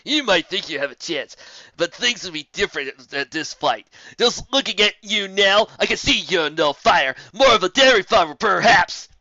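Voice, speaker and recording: A boastful male griffin bully, acted out by a story narrator, throwing scornful taunts in a loud theatrical sneer. The delivery is bright and forceful, swinging widely, in a dull, close recording. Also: mid-range voice, expressive, normal speed, no echo, voice pushed up. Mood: mocking. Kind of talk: fan fiction story character voice.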